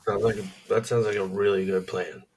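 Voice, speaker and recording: A very deep-voiced man in his forties, chatting in a relaxed, easygoing way. He sits back from the microphone, so he sounds distant in the recording. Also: fairly quick, gravelly, breathy edge. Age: 40s. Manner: laid-back, easygoing, reminiscing. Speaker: podcast co-host, skydiving instructor. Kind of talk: casual chat. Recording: voice from far off the mic.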